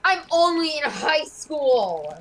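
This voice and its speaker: A woman voicing a frightened, whiny, protesting teenage high school girl held captive in an amateur game roleplay. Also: very animated, slow, fairly bright tone, slight background noise, heavily acted, rough recording. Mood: desperate.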